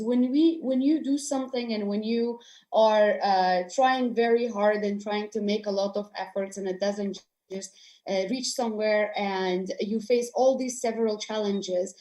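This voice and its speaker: A woman's voice: mid-range voice, normal speed, dark tone. A young woman from Afghanistan answering a panel question on a video call, hesitant with many restarts. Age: young adult. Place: room with houseplants.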